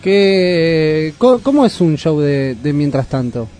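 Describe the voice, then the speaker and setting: Hesitant radio host, dark voice. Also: male voice, a little high, very animated, slow pace, faint music behind. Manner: hesitant, rephrasing questions. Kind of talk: casual radio interview. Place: radio studio.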